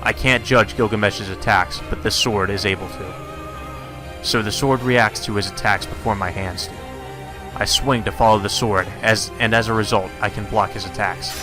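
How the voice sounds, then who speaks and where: A man's voice: fairly low pitch, steady tone, moderate pace, over music. A man reading a visual novel aloud as narration, in the manner of a let's play host.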